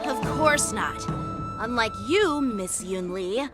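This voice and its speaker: A woman's voice: fairly high pitch, lively intonation, slow pace, over music. A woman voicing an earnest, hot-headed young boy swordsman in a video game, polite but easily flustered. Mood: indignant. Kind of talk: scripted video game story dialogue.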